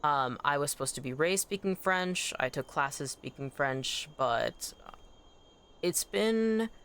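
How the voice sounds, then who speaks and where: A game streamer whose native language is Anishinaabemowin, chatting casually and excitably on a livestream, rambling and correcting themselves as they go. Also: mid-range voice, slow, a little hiss or hum. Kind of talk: casual livestream chat while gaming.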